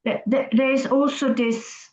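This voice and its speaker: A woman's voice: high voice, level tone, measured pace, a little mellow. Hesitant woman on a roomy, dull video call. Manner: hesitant, reflective, restarts words. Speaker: class participant.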